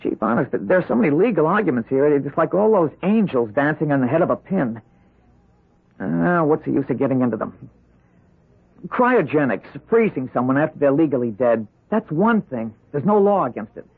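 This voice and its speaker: An actor playing a loyal but anxious young lawyer, hesitant and informally protesting, in an old radio drama. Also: male voice, fairly high pitch, animated, normal speed, gravelly, slightly rough, full character voice. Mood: doubtful. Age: young adult. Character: young attorney, anxious, loyal, skeptical subordinate. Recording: muffled vintage radio recording.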